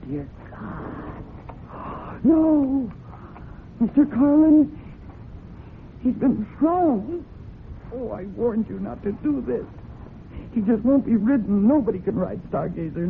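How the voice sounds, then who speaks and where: Fraught horsewoman on muffled old radio. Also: mid-range voice. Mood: anguished, horrified. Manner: fraught, breathless, emotionally heightened delivery. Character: distraught, fiercely protective horsewoman narrating her own crime story. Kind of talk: dramatic old-time radio acting with first-person narration. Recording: muffled phone-like old radio recording with hiss.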